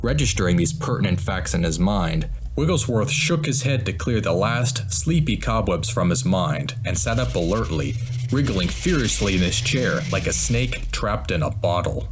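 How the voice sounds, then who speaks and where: A man reading a scripted adventure story as an audiobook narrator, in a dramatic storytelling style. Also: sits low. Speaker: author narrating his own audiobook. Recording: clear background noise and ambience. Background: sound-effect ambience.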